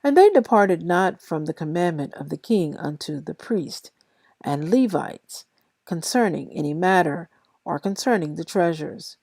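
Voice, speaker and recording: Tired lady reading bible out loud, real slow n careful, bit of vocal fry. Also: lowish voice, lively intonation, no echo. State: a little tired. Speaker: Bible reader and host of a daily reading series. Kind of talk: scripture read aloud with brief casual introduction.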